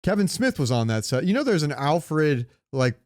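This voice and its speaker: A man's voice: a little high, very animated, moderate pace, darkish tone, dry recording. A man chatting casually as a podcast co-host in a rambling way, swearing now and then and asking questions. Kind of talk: casual chat about comics and movies.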